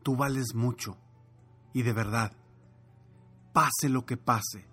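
Male motivational storyteller: husky, earnest, emphatic, mid-pitched, soft music behind. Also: fairly even intonation, takes it slowly. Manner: earnest, emphatic, repeats phrases. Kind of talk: inspirational storytelling and talk.

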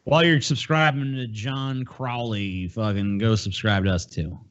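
A man with a low voice co-hosts a casual podcast. He talks in crude, profane jokes. The recording is slightly muffled and lo-fi. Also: fairly even intonation, moderate pace, a little hiss or hum. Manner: profane, joking. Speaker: podcast co-host.

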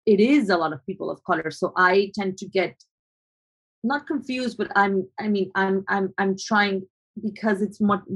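Young woman from Colombia, reflective and halting, an average voice for a woman. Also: mid-range voice, breathy edge, rough recording. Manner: thoughtful, hesitant, many restarts and fillers. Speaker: photographer. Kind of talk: conversational interview answers.

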